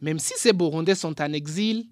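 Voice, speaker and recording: A man presenting a weekly radio magazine, reading his script in a measured, formal way. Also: on the high side, no echo, studio quality. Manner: measured, formal read delivery.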